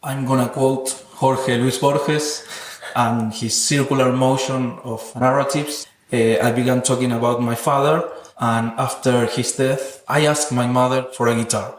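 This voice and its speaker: A man's voice: medium pitch, steady tone, measured pace. Reflective man in an echoey room. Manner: thoughtful, academic wording. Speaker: interviewed sound artist. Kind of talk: reflective interview talk about own work. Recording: echoey, roomy sound.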